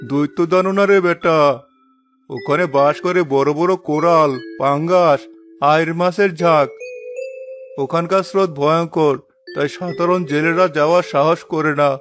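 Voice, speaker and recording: A man's voice: a little high, steady tone, normal speed, fairly bright tone, soft background music, lightly acted. A man voicing a wise older village uncle in a cartoon, a firm and encouraging mentor to a younger fisherman. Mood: rousing. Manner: firm, encouraging, proverb-laden. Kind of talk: dramatised cartoon dialogue.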